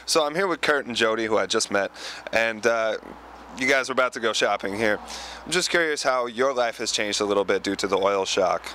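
A man's voice: lowish voice, brisk. A man in his thirties hosting a mock news report with a handheld mic, outdoors on a city street. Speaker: host reporter. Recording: loud street noise behind.

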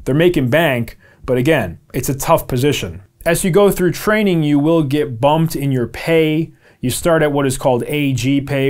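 A man in his thirties with an American accent speaks at a middling pitch. He explains things informally to camera in a conversational way, putting weight on key terms. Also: a little mellow. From Albany, New York, USA. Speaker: video presenter. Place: small home office, indoors.

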